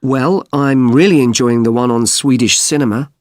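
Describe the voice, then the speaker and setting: A man's voice: medium pitch, moderate pace, no room sound, lightly acted. A young man playing a polite, keen undergraduate in a scripted listening-test dialogue, speaking earnestly. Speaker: student.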